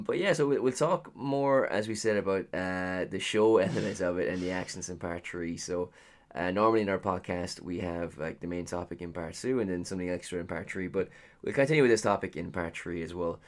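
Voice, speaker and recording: An Irish man co-hosting a podcast in casual chat. He rambles and fills his talk with lots of ums and uhs. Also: low voice. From Ireland. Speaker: podcast co-host.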